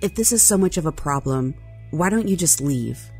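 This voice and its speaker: A woman's voice: sits low, expressive, normal speed, darkish tone. A woman voicing an impulsive, irritable young prince with a petulant, demanding manner in an audio drama. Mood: angry, demanding. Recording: music behind the voice.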